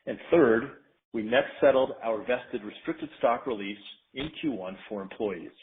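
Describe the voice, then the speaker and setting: A man in a company finance role reads a scripted earnings presentation in a formal, measured, businesslike way. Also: medium pitch, a little hiss or hum. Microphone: conference call line. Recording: narrow tinny phone-line sound.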